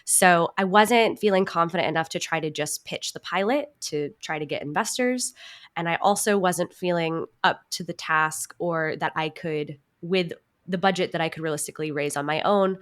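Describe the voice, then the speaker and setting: Female interview guest, slightly low register, conversational delivery. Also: moderate pace, a bit bright. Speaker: podcast guest, actor and writer.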